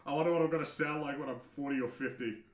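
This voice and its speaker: A young man with a naturally deep voice hosts a casual livestream, giving frank, opinionated takes with frequent restarts, occasional swearing and laughter. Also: fairly even intonation, fast talker, echoey room. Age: young adult. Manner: laughs often. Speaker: livestream host answering chat.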